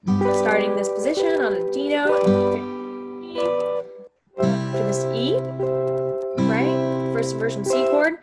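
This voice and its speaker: Higher-voiced woman teaching a guitar lesson. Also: expressive, slow pace, long pauses. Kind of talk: instructional music lesson, explaining while demonstrating.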